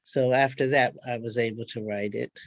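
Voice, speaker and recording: A woman's voice: extremely low pitch, fairly even intonation, moderate pace, no room sound. Older lady chatting about a workshop she was in, dry muffled call audio. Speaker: workshop participant and writer. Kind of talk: casual recollection in a panel discussion. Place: remote call-in to online event.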